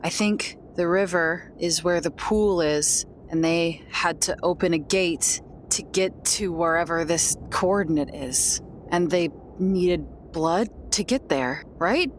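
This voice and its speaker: A woman playing a tabletop horror game in casual improvised talk, haltingly repeating her phrases. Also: on the low side. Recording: clear background noise.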